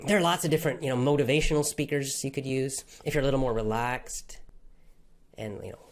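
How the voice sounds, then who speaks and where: A man's voice: mid-range voice, fairly even intonation, fast. A man who teaches English, answering viewers' questions in an enthusiastic, motivational way. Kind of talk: live Q&A with viewers.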